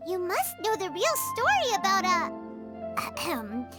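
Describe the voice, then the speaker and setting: A tiny, childlike fairy companion from a video game, chatters in an extremely high, squeaky voice. The pitch leaps about animatedly, with an excitable, emphatic delivery. Prominent music plays behind it. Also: female voice, measured pace, exaggerated voice. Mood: eager, insistent. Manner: squeaky, exclaiming, emphatic, refers to self in third person. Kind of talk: video game character acting. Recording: background music under the voice.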